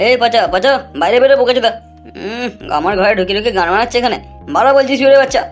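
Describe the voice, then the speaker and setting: A woman's voice: on the high side, fast talker, lightly acted. A woman voicing a sly, greedy male lover and swindler in an amateur cartoon, crude and taunting. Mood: taunting, aggressive. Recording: music under the voice.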